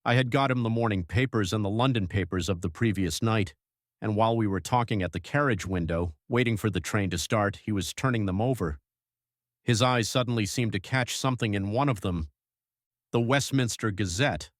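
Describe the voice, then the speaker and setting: A man acts out an earnest young husband narrating his journal for an audiobook. His voice is on the low side and his delivery is measured and literary. The recording is very dry. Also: no room sound, close to an everyday voice. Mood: sober recounting.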